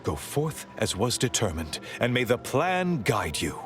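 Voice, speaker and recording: A solemn male cleric in a video game gives formal directions. His pitch moves very widely, and music plays clearly underneath. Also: sing-song, measured pace. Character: solemn male member of a religious order giving orders. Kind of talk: video game dialogue acting.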